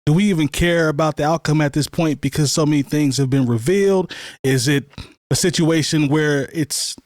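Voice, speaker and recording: A man's voice: on the high side, normal speed, darkish tone, dry recording, clean studio sound. A man hosting a podcast chat who steers the talk with questions to the others. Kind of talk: casual chat.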